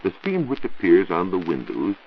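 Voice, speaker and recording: A man with an American accent explaining science on a scripted 1940s radio show, in a didactic, deliberate and emphatic way. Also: low-pitched, huge pitch swings. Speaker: science reporter answering listener questions. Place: radio studio. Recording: muffled, noisy 1940s radio recording.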